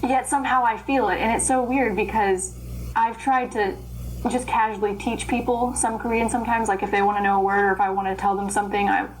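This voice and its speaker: A young woman, an interpreter, chatting in a casual interview, rambling and animated as she explains herself. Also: medium pitch, a little hiss or hum, low-quality recording. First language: English. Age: young adult. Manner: rambling, animated, trails off into laughs. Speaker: guest, Korean interpreter.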